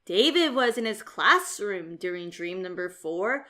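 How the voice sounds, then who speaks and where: A woman reading a rhyming children's storybook aloud as narrator, in a theatrical, expressive and measured way. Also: mid-range voice.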